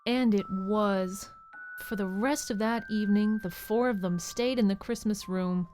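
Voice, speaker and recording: A woman narrating an audio drama as a gentle, earnest storybook storyteller. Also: mid-range voice, slight background noise, close to an everyday voice. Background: music.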